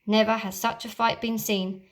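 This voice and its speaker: A woman teacher reading a children's storybook aloud, with expressive, dramatic storytelling. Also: medium pitch, normal speed.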